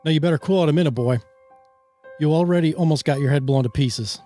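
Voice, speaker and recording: Man acting gruff, higher-pitched bullying sheriff. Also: fast, dark tone, faint music behind, natural voice. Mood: threatening, impatient. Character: gruff, threatening small-town sheriff, impatient and sarcastic. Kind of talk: amateur radio play reading.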